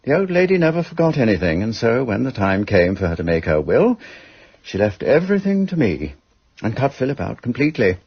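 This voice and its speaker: An actor playing an urbane, guarded English solicitor in a radio drama, speaking educated English with a measured, formal and obliging manner. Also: male voice, medium pitch, very animated, vocal fry, slightly rough, highs cut off, exaggerated voice. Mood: measured recounting.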